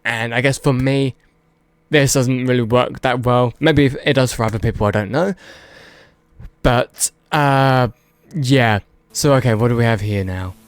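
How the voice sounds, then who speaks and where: A man's voice: medium pitch, measured pace, clean tone, no room sound. A man giving casual, unscripted video commentary, rambling and hedging as he goes and repeating words for emphasis. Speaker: video host.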